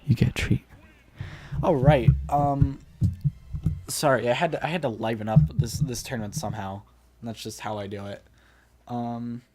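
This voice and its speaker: A man's voice: mid-range voice, a little mellow, dry recording. A man with a stuffy, congested nose, casually commentating a game tournament, rambling with lots of ums and restarts.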